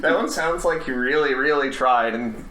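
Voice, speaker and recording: A man's voice: medium pitch, normal speed, sharp tone. A man chatting casually and jokingly about films as a podcast panelist among friends. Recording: echoey room, faint background noise.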